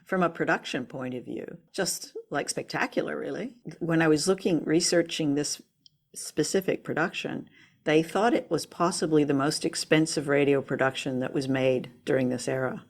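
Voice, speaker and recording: A woman with a low voice talks casually as co-host of a review show. Her delivery is thoughtful and conversational, with a light teasing touch. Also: moderate pace.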